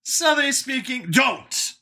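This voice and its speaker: A man voicing an excitable, easily shocked doctor in an audio story, high and strained, with halting, exclaiming speech. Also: heavily acted. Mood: startled. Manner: exclaiming, halting when searching for words.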